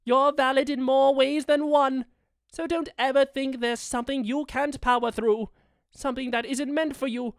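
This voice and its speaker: A woman plays a doting owl demon prince with a distinctly high voice, recorded close and dry. He speaks in florid, regal phrases, theatrical yet affectionate, as a comforting character in an audio roleplay. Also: clean tone, no room sound, natural voice.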